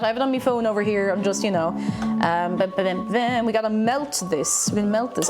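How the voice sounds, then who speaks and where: A young Irish woman with a Tipperary accent that carries a touch of Dublin chats warmly to her live chat, laughing easily and perking up when she greets people. Her voice sits a little high. Stream music plays clearly behind her, and her voice fades now and then as she steps away from the mic. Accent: Irish, from Tipperary with some Dublin. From Ireland. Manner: chatty, laughs often, greets chat with bursts of excitement. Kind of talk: casual chat while reading live chat and cooking. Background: background music playing.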